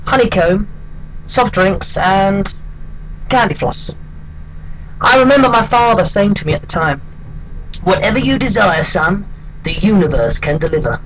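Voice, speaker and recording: Guy playing a sad-sack single bloke doing a deadpan monologue, kinda high voice, bit of hiss. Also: rough recording. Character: hapless, wistful single man telling his odd life story. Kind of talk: comic dramatic monologue.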